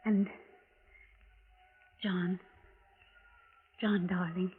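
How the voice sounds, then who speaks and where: An actress playing a calm, devoted assassin from the future, speaking in a measured, formal way on a muffled old radio recording. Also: female voice, on the low side, a little hiss or hum. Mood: resigned, tender. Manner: measured, formal, without contractions. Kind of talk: 1940s radio drama acting.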